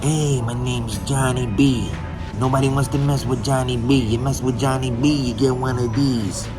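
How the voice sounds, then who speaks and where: A man voicing a menacing tough guy who boasts slowly and threatens people. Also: mid-range voice, fairly even intonation, over music, lightly acted.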